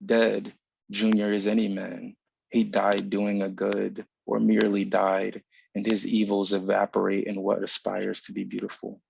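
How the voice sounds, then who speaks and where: A man giving a literary reading of a poetic essay, measured and deliberate in formal phrasing, over a video call. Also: fairly low pitch, monotone, vocal fry, breathy edge, no echo. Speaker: writer reading own work. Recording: dull lo-fi online call audio.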